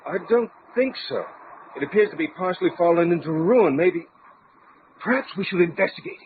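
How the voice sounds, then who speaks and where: High-pitched gentleman adventurer, radio serial. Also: expressive, brisk. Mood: observant. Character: well-travelled, proper gentleman adventurer, cautious and curious. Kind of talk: old-time radio adventure acting. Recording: tinny band-limited radio drama, background noise.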